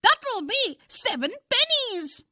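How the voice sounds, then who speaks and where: A woman's voice: extremely high pitch, big pitch swings, slow, pushed and bright, no echo, clean studio sound, pitched above the performer's own voice, full character voice. A woman voicing a tiny, irritable old male wizard with a whining voice in a storybook reading. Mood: cranky. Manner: whiny, irritable.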